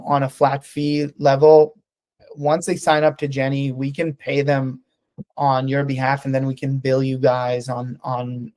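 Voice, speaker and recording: A man's voice: mid-range voice, a little mellow, dry recording. A man whose first language is Hebrew, speaking English on a casual business video call, hesitant with many ums and restarts. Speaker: sales representative on a business call. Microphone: computer mic on a video call.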